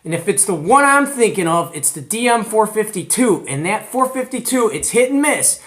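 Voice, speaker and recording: An American man hosting a hobby video in a casual, animated, colloquial way, retelling conversations as he goes. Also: high-pitched, moderate pace. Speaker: host of a CB radio show. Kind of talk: casual hobby vlog presenting.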